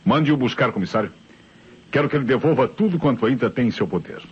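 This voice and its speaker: A Brazilian man playing a commanding, sharp-witted police inspector in an old radio mystery, speaking with emphatic authority. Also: medium pitch, lively intonation, fast talker, breathy edge. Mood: authoritative. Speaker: radio actor. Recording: dull old radio recording with music.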